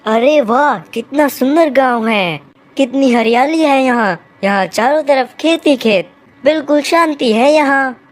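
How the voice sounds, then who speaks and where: A woman's voice: high voice, measured pace. A woman voicing a rich young male city crow, an earnest and insistent son in a cartoon story. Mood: delighted.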